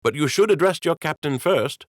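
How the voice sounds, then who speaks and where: A man voices a noble rabbit king who speaks with calm authority and fatherly firmness. The voice is lifted a little above the narrator's low natural tone. The recording is dry and intimate. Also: no echo, lightly acted. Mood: measured. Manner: measured, warmly stern. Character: wise, dignified male rabbit king.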